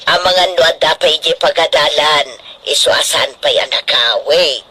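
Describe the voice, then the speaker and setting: A woman playing a calm, reassuring middle-aged relative in an Ilocano radio drama, soothing and matter-of-fact. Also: deep voice, unhurried, a crowd in the background.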